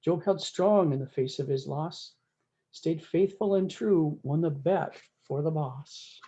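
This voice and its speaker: A woman with a soft, mellow voice reads a rhyming storybook aloud. Her delivery is folksy and speaks directly to listeners. The recording is slightly dull and lo-fi. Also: deep voice, slow pace. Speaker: story time host reading a picture book. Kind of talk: read-aloud of a rhyming cowboy storybook with brief asides.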